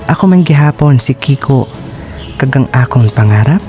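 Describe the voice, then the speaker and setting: Male actor as young model, hopeful, heartfelt, Filipino accent, mid-range pitch, radio drama monologue over music. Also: expressive, moderate pace, lightly acted. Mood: heartfelt, proud.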